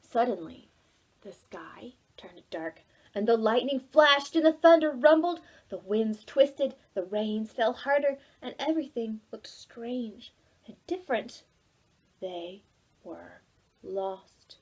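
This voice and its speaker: A woman reading a children's storybook aloud for preschoolers, dramatic and expressive, involving the young listeners. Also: a little high, takes it slowly, husky, poor audio. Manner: animated, dramatic, asks listeners questions. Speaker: children's library storytime reader.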